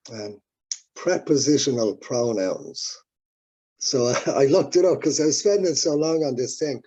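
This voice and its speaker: An Irish man, first language Irish, talks in a loose, reflective way, circling back and restarting his thoughts. His voice is husky and mellow. The sound is dull and lo-fi, like a video call. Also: mid-range voice. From Ireland. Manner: rambling, reflective, many restarts. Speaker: musician guest. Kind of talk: casual interview talk.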